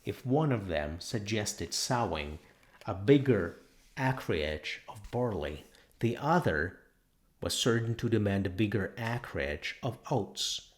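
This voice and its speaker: Male audiobook narrator, fairly low pitch, slow pace, measured deliberate phrasing, dramatic literary reading. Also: animated.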